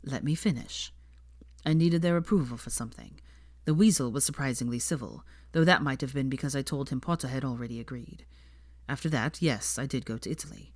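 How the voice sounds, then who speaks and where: A woman voicing a smug young wizard man who explains himself calmly, carefully and with unhurried self-assurance, as in a storybook. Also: rumbling low, no room sound, clean studio sound, voice pushed down.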